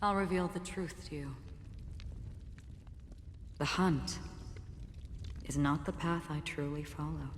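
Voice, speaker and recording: An actress playing a calm, enigmatic swordswoman in a game cutscene, somber and resolute, with measured, restrained delivery. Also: low voice. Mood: solemn, resolute. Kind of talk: dramatic game cutscene acting. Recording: long reverb, faint noise.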